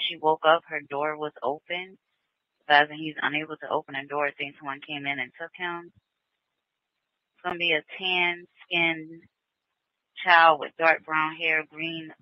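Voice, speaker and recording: Casting: male police dispatcher, flat delivery, clipped and procedural, over dull lo-fi radio. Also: a little high, unhurried, dry recording.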